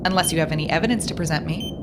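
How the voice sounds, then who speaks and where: A woman's voice: fairly low pitch, huge pitch swings, racing, heavy background noise. A woman playing a stern, impatient senior research scientist, clipped and sarcastic, in an audio drama. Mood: cold, sarcastic.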